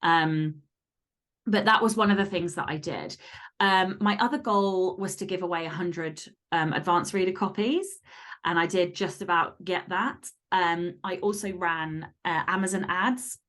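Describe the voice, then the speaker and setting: A woman's voice: lowish voice, fairly even intonation, unhurried, fairly bright tone. A woman chatting casually as an interview guest, candid and blunt, swearing freely. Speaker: podcast guest and fantasy romance author.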